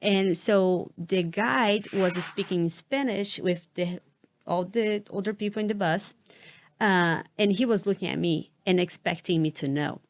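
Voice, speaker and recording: A woman's voice: medium pitch, a little slow. A woman whose first language is Portuguese, co-hosting a podcast and telling stories in an informal, casual way. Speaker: podcast co-host. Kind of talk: casual chat.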